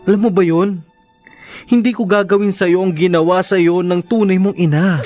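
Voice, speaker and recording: An actor playing a devoted, persistent young suitor in an earnest, pleading Filipino radio drama style. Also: male voice, high voice, fairly even intonation, normal speed, darkish tone, soft background music, lightly acted. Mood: pleading, loving. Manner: earnest, pleading, mixes in English.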